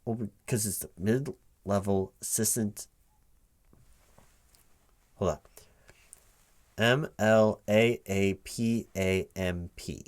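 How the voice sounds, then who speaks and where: A man speaking aloud in a one-sided ASMR job interview roleplay as a recruiting manager, halting and repeating himself as if puzzled. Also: lowish voice. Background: computer keyboard typing.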